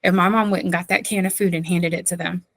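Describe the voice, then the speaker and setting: A woman's voice: on the low side, fairly even intonation, fast, fairly bright tone, no echo, studio quality. A woman pastor from southeastern North Carolina, telling stories as a guest in a casual interview with lots of fillers and frequent laughter. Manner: chatty, laughs often, many fillers.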